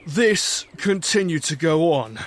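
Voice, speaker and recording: A man's voice: fairly high pitch, big pitch swings, slow pace, exaggerated voice. A man playing a boisterous, commanding pirate captain who tells his sea tale in a dramatic storytelling style, with music behind him. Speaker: narrator and captain.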